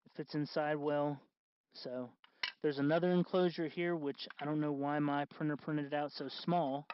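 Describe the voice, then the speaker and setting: A man hosting a do-it-yourself tutorial in casual, unscripted talk, halting and correcting himself as he goes. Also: a little high, fairly even intonation, lo-fi sound, background noise. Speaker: host of a DIY costume electronics tutorial.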